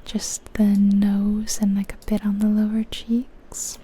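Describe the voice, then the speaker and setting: Slow, softly whispering ASMR woman. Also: mid-range voice, completely flat delivery, husky. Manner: whispering, musing to herself. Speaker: ASMR creator. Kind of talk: whispered ASMR game commentary.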